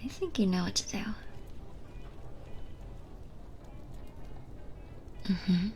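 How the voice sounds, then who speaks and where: A woman playing a flirty, playful bartender in an ASMR roleplay, speaking in a soft, intimate, breathy half-whisper. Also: medium pitch, animated, brisk, soft background music, natural voice. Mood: flirty, teasing.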